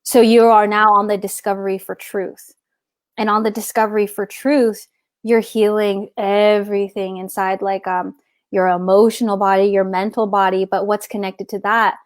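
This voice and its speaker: A woman's voice: mid-range voice, level tone, normal speed, no room sound, studio quality. Rambling woman talking about soul work. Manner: rambling, searching for words with many restarts. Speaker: guest author. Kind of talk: casual spiritual conversation.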